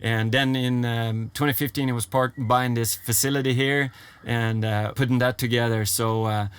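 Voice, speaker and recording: A man's voice: mid-range voice, fairly even intonation, moderate pace, clean tone. A man in his fifties from Sweden with a Swedish accent, a ranch owner answering interview questions in a casual, chatty way, often repeating himself. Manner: warm, repeats phrases, many fillers. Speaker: horse trainer and ranch owner.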